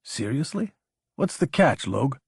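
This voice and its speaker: A man's voice: medium pitch, very animated, measured pace, creaky, husky, no room sound, studio quality, voice pushed up, exaggerated voice. A man voicing a brash younger lieutenant in an audiobook, a blunt, slangy, teasing military buddy. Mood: skeptical, game.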